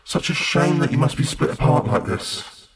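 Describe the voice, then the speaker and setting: A man's voice: normal speed, very noisy, lightly acted. A man playing a sadistic otherworldly villain who feeds on mortals in an audio drama, mock-polite and taunting as he draws out his words. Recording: echoing voice under loud music.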